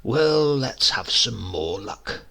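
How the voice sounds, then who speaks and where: A man voicing a smooth-talking older uncle, a secret poisoner with sly, drawn-out politeness, in a storybook reading. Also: mid-range voice, animated, bright, forceful tone, full character voice. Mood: wary, thoughtful. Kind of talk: acted dialogue in a storybook reading.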